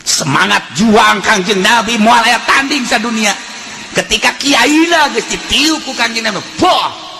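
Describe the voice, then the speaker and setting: High-pitched Sundanese-accented male preacher. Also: a little slow, slight background noise. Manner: animated, shifting between chanting, shouting and folksy asides. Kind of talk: fiery religious sermon with storytelling.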